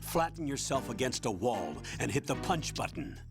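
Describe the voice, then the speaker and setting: An actor playing a stern military colonel in a video game, giving briefings in an authoritative, measured, matter-of-fact way. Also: male voice, mid-range voice, sing-song, over music. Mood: urgent instructing. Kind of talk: dramatic video game voice acting.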